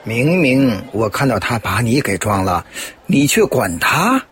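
A male actor plays a baffled, indignant bystander of middle age in a radio drama. His voice is rough and breathy, rising and falling sharply. A faint crowd is behind him. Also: mid-range voice, sing-song, measured pace, fairly bright tone, exaggerated voice. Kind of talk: Chinese radio drama acting.

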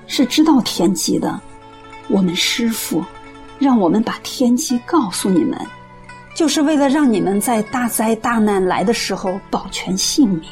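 A woman's voice: mid-range voice, darkish tone, natural voice. A woman playing an earnest believer who patiently persuades strangers in a radio drama, explaining things persuasively. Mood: earnest warning. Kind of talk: Mandarin radio drama acting. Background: background music.

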